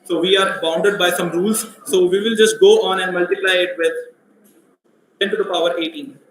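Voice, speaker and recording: A man running a live coding workshop, speaking loudly and asking his audience questions. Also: high-pitched, steady tone, normal speed, echoey room, a little hiss or hum, poor audio. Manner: loud voice, asks the audience questions. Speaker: workshop instructor. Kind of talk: live coding workshop, mixing English and Hindi.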